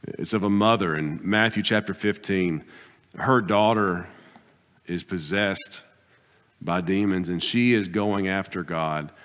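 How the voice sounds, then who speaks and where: A man preaching a sermon as pastor, pressing his points with rising bursts and then dropping to quiet, deliberate asides. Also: deep voice. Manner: emphatic preaching, swings between loud exhortation and quiet asides. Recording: long hall reverb.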